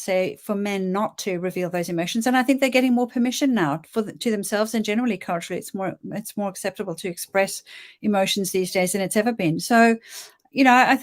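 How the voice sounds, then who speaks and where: A woman's voice: medium pitch, fairly quick. A woman guest on a panel discussion, speaking in an earnest, reflective way with some restarts.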